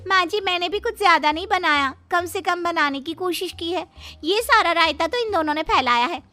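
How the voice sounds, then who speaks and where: Woman acting a shrill, defiant young daughter-in-law. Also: moderate pace, bright, forceful tone. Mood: blaming. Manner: argumentative, indignant.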